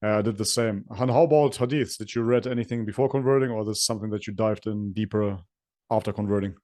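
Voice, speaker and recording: Brief: male host, Slovak accent with a German tinge, low voice, dry close sound, short questions. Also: quick pace, mellow, no echo. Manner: short agreements and plain questions. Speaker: interview host. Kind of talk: casual conversation.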